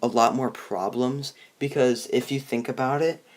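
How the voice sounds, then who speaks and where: Halting young man hosting movie chat. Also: medium pitch. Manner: halting, rephrasing often. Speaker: host, video reviewer. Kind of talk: casual movie discussion.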